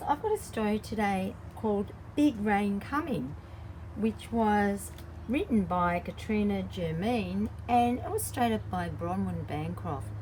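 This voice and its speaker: Slow-paced woman reading to children. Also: mid-range voice, a little mellow, a little hiss or hum, rough recording. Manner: simple explanatory asides, drawn-out emphasis on key words. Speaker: children's story time host and early childhood educator. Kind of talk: read-aloud picture book storytelling for young children.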